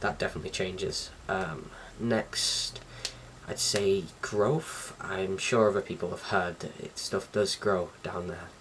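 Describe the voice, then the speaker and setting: Low-voiced young man, chatting casually. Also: fairly even intonation, slow pace, a bit bright. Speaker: vlogger. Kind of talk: casual chat to camera. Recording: distant mic with faint background noise.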